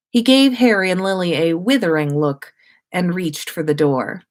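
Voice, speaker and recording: A woman reading fiction aloud as a storyteller, narrating for listeners. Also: on the low side. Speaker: host reading listeners' stories on a livestream.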